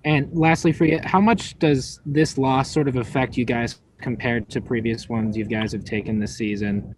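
A man's voice: mid-range voice, steady tone, moderate pace, slight background noise, low-quality recording. Guy reporter asking the coach stuff, average voice, plain and direct. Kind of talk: press conference questions.

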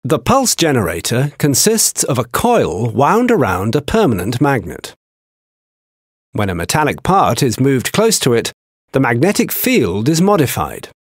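A man giving scripted technical narration for a training video, with a measured, explanatory delivery. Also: medium pitch, huge pitch swings, husky, no room sound, clean studio sound.

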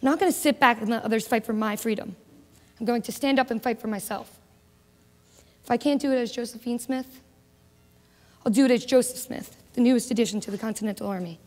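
A woman plays a headstrong young woman who wants to fight alongside the men. Her voice sits high and insistent, with a defiant push. A long hall reverb hangs on every line. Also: brisk, pauses often, soft background music, natural voice. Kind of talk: amateur drama acting. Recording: echoey hall.

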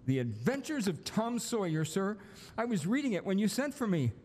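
An actor playing an eager, meek, flustered old apprentice angel in a live radio play. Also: male voice, high-pitched, very animated, moderate pace, a little mellow. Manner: polite, flustered, deferential. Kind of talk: stage radio-play acting. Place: school auditorium stage. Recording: reverberant hall with music.

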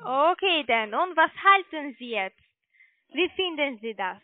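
A high-pitched woman with a foreign accent in her German delivers a sales pitch over a narrowband phone line. She speaks haltingly and searches for words as she goes. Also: lively intonation. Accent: non-native German. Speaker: call centre sales agent pitching trading. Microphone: telephone.

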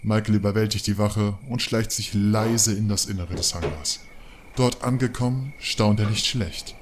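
A man's voice: fairly low pitch, normal speed, noisy background. A man giving scripted narration for an audio drama.

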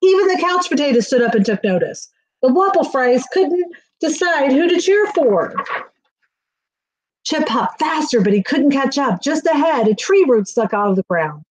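A middle-aged woman reading a children's picture book aloud in an expressive storytelling manner. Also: sits high, moderate pace, rough recording. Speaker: school staff reading aloud. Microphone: webcam on a video call, near.

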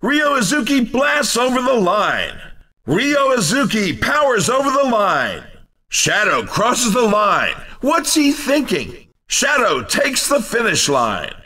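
Upbeat video game race commentator: wildly animated, forceful, exclamatory, slightly off-mic. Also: male voice, very high-pitched, takes it slowly, distant mic, exaggerated voice. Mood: excited. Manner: exclamatory, punchy announcer delivery. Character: excitable, upbeat male racing game commentator.

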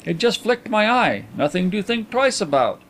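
A suave, self-assured gentleman, as a male narrator plays him, with a raised, lilting pitch. He speaks with tranquil courtesy and easy good humour, in the manner of a storybook character. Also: very animated, moderate pace, exaggerated voice. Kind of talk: audiobook character acting.

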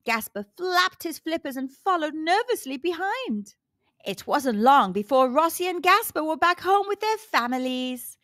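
A woman reads a children's story aloud as a calm, deliberate storyteller speaking to young listeners. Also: sits high, dry recording, studio quality. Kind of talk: read-aloud story narration.

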